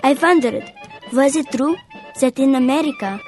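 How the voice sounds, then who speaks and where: A woman's voice: high voice, normal speed, darkish tone, faint music behind. A woman reading a memoir passage aloud with expression. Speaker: reader.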